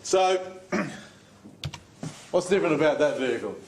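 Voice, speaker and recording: A man's voice: a little high, expressive, takes it slowly, far from the mic. A man giving a talk to a live audience, speaking conversationally and putting questions to the crowd. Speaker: guest speaker. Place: lecture room before an audience. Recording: echoey room, off mic, faint ambience.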